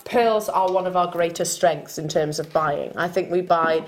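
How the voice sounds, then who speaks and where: A woman's voice: fairly low pitch, normal speed. A woman with a Welsh accent presenting a live TV shopping show, chatty and upbeat as she talks with guests and greets viewers. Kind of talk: live TV selling chat. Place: TV studio.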